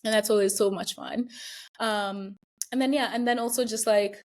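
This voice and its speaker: A woman's voice: mid-range voice, fairly even intonation, normal speed. Young adult woman, Kenyan accent, interview guest, reflective and chatty, mid-range voice. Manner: chatty, reflective, frequent fillers and laughs. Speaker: guest, university student. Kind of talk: casual interview conversation.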